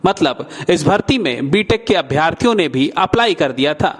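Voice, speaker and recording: A man's voice: a little high, expressive, moderate pace. A man explaining background as a news reporter.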